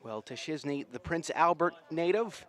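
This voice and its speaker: Male play-by-play caller, slightly high voice, folksy and enthusiastic, live rugby outdoors. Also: expressive, moderate pace, fairly bright tone. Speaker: play-by-play sports commentator. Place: outdoors at a sports field.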